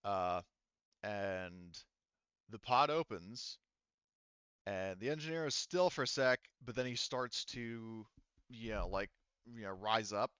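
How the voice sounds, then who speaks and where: Low-voiced man game master, slightly muffled. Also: slow, pauses often, fairly bright tone, clean tone, no echo. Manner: hesitant, searching for words as he narrates. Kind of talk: improvised tabletop game narration.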